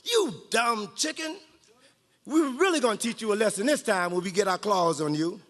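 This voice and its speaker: A man's voice: high voice, animated, moderate pace, fairly bright tone. A man voicing a bullying rooster who runs the chicken yard, threatening with street-tough swagger, in a storybook reading. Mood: menacing. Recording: echoey hall with long reverb.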